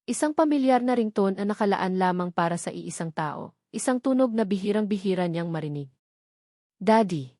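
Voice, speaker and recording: Female Filipino story narrator, dramatic romance read, mid-pitched, dry sound. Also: expressive, fairly quick, mellow, no echo.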